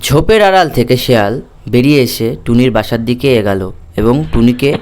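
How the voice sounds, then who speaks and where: A man's voice: medium pitch, a little fast, darkish tone, slight background noise. A man narrating a scripted cartoon fairy tale as the storyteller.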